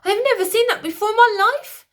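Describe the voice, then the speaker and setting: A woman voicing a proud queen, pleading in a high, strained voice. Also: fast. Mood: indignant. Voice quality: high, pleading, shrill when upset. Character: proud frightened queen, middle-aged woman.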